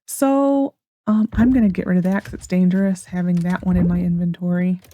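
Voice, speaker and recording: A young woman with a hoarse, sore voice, rambling through casual gameplay commentary with frustrated sarcasm. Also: fairly low pitch, steady tone, a little fast, mellow, a little hiss or hum. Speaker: gaming YouTuber.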